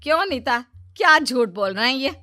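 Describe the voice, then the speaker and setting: A woman voicing a bossy, vain middle-aged mother-in-law in a children's cartoon story, with a sharp, boastful manner. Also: sits high, sing-song, moderate pace, dry recording, soft background music, exaggerated voice. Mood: offended, boastful.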